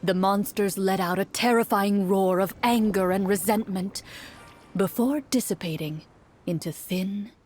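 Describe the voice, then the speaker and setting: A woman's voice: medium pitch, measured pace, over music. A woman giving scripted second-person storytelling narration for a video game.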